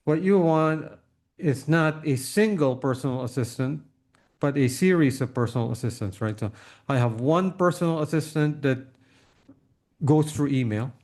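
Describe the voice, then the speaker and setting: A man's voice: on the high side, moderate pace, darkish tone. A man hosting a casual podcast interview, thinking aloud tentatively as he puts questions to his guest.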